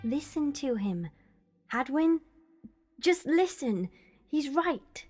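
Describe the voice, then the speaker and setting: Woman playing a high, lively, blunt young companion. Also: takes it slowly, faint music behind, full character voice. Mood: exasperated. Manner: blunt, exasperated. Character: Sophie, a young, exasperated, unforgiving female companion. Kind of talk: audio drama acting.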